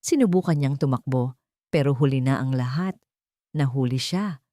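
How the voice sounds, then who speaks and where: A woman's voice: a bass voice, normal speed, mellow, no room sound. A woman reading a dramatic romance story as scripted narration.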